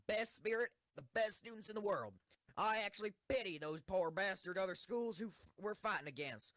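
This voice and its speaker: Casting: woman as swaggering schoolgirl commander, rousing war oratory, very expressive pitch, dry close sound. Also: no echo. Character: brash, bombastic schoolgirl tank commander giving a war speech. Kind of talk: rousing military speech in a comic audio drama.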